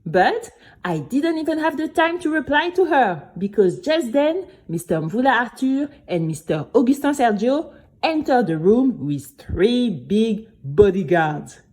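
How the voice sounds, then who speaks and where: Woman playing an animated young girl storyteller. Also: fairly high pitch, measured pace. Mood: excited, dramatic. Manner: animated, chatty, addresses listeners directly. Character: spirited young girl recounting her adventure to friends. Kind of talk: dramatic first-person audio storytelling.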